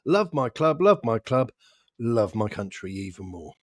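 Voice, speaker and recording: A man's voice: medium pitch, big pitch swings, a little slow, dry recording. Man in casual football banter, dry close sound. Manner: joking, sarcastic, sweary. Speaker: football podcast host. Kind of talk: casual fan banter and chat.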